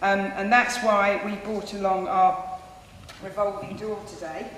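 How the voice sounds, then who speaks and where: A woman giving a persuasive talk at a public event, earnest and pausing on many ums. She projects her voice, which she calls loud. The sound carries a long, heavy echo from a big hall and a light hiss. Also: mid-range voice, flat delivery. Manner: says she has a loud voice. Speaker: campaign speaker. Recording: echoey hall, faint noise.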